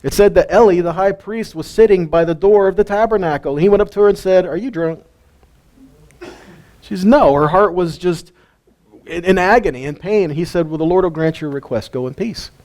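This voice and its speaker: A man giving an informal Bible teaching, animated and full of rhetorical questions and light jokes. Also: sits high, moderate pace, long pauses. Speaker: teacher of a weekly Torah portion.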